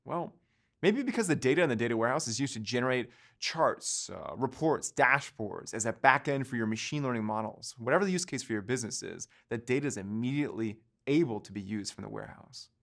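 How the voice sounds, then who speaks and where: A man's voice: medium pitch, expressive, fairly quick, vocal fry, a bit bright. A man in his thirties presenting a scripted online course lecture, explaining each point while gesturing with both hands in a studio. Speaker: course instructor.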